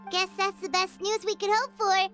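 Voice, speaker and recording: A woman voicing a small, excitable floating companion who talks about herself in the third person. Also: extremely high pitch, a little fast, faint music behind. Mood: curious. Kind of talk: game cutscene voice acting.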